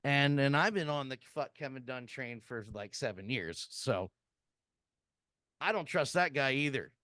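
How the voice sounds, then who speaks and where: A man's voice: mid-range voice, expressive, a little slow, pushed and bright, no room sound, clean studio sound. A middle-aged man hosting a wrestling podcast, ranting profanely and laughing often. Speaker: podcast host. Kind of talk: casual wrestling podcast chat.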